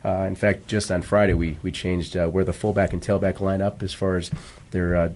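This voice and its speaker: A man's voice: deep voice, level tone, a little fast, a little mellow. An American man from Michigan, a modest and polite coach speaking as a guest in a casual radio interview. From Michigan, United States. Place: radio studio. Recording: clear background noise.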